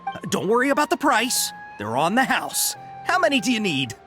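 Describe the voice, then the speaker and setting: A man's voice: high voice, wildly swooping pitch, normal speed, bright, forceful tone, soft background music, heavily acted. A man voicing a grateful, generous caravan merchant in a video game, effusive and eager to please. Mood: overjoyed.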